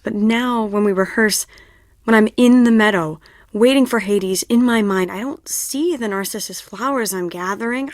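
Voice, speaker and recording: High-voiced, dramatic young stage director. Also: female voice, creaky, dry recording, studio quality. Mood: confiding, troubled. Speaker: video game voice actor. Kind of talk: adventure game dialogue acting.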